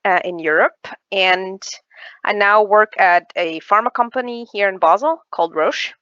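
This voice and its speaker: A woman's voice: medium pitch, normal speed, sharp tone, no echo. A woman from Switzerland, answering conversationally as an interview guest. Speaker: guest, pharma research project manager. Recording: slightly dull, dry online call.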